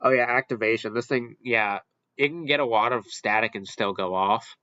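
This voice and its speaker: A man hosting a casual, unscripted ranking commentary, halting and rambling as he corrects himself along the way. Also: on the low side, sharp tone, no echo, highs cut off. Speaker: video host ranking products.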